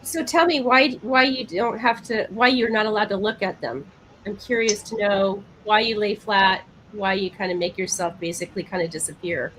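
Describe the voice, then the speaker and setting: A woman's voice: mid-range voice, fairly even intonation, moderate pace, fairly bright tone, rough recording. A woman co-hosting a podcast, chatting casually and asking the guest questions. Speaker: podcast co-host. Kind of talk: casual interview chat. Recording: faint background noise.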